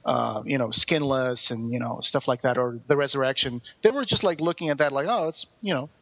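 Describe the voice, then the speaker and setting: A man's voice: medium pitch, brisk. A man co-hosting a podcast, explaining things in detail in a casual discussion. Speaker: podcast co-host. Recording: roomy echo, dull muffled sound.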